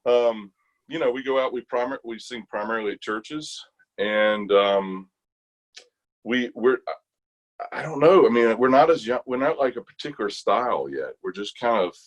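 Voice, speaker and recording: A man's voice: medium pitch, expressive, normal speed. An American man chatting casually as an interview guest, thinking aloud in loose, broken phrases. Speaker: interview guest, bass gospel quartet singer.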